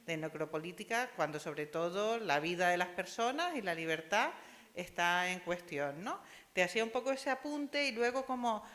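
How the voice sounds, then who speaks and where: A woman's voice: fairly low pitch, lively intonation, normal speed, a bit bright. A woman from Spain with a Spanish accent, giving a discursive academic comment at a university panel and often adding tag questions. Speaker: audience member asking questions at an academic panel. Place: university lecture hall. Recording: long hall reverb.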